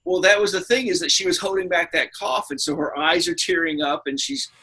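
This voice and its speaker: Guy on a podcast telling movie stories, kinda husky but bright, acts stuff out as he goes. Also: high-pitched, fairly quick. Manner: animated storyteller who acts out quotes. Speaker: podcast co-host. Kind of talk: casual chat, film anecdotes.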